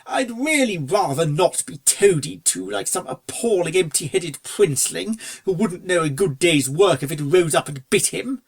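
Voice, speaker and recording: A reader voicing a suave upper-class gentleman stallion in a storybook, with a posh, drawling, breezy manner and old-fashioned phrasing. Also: medium pitch, animated, normal speed, creaky, few pauses. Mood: irritated. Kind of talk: storybook character dialogue.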